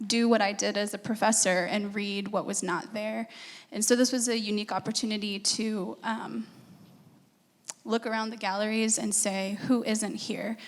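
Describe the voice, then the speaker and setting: A woman's voice: fairly high pitch, big-room sound. A woman from Senegal speaking on a panel, thoughtful and articulate. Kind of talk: panel discussion.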